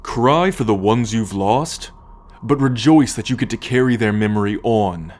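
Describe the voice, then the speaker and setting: A man playing a proud, possessive alien starship captain in an ASMR roleplay, speaking in a measured, formal, commanding way to the listener. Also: lowish voice, a little mellow, natural voice. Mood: stern, solemn. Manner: measured, imperious, formal lecturing.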